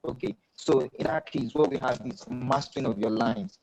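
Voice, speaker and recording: Nigerian man, panel poet, gravelly low-mid voice, faint hiss behind, talks in a steady explanatory way with restarts. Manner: measured, explanatory, with many fillers and restarts. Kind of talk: panel discussion talk. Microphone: video call.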